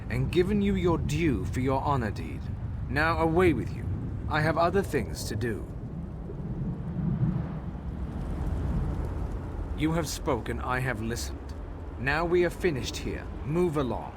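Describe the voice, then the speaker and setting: A man's voice: medium pitch, big pitch swings, normal speed, noisy background, lightly acted. An actor playing a haughty, impatient male Wood Elf elder in a video game, curt, pompous and scornful. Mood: dismissive. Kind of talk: video game quest dialogue.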